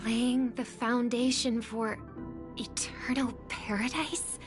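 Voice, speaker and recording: A woman's voice: fairly high pitch, level tone, slow pace, over music. A woman acting in a video game as a puzzled young woman who speaks in hesitant, questioning phrases.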